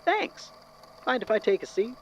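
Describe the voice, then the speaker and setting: An actor playing an eccentric, courteous, musing storyteller with a folksy, wordy, theatrical manner in an audio drama. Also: male voice, high voice, big pitch swings, moderate pace. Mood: affable, chatty. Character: eccentric, courteous, philosophical storyteller man from Tennessee. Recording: dull lo-fi audio with clear noise.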